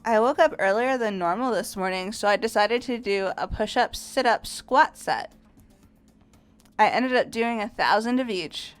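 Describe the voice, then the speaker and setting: Sporty teen girl played by a woman, high clean voice, emphatic and gushing, visual novel game, music underneath. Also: moderate pace, clear, resonant tone, natural voice. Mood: tired. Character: energetic, athletic, donut-obsessed teenage girl.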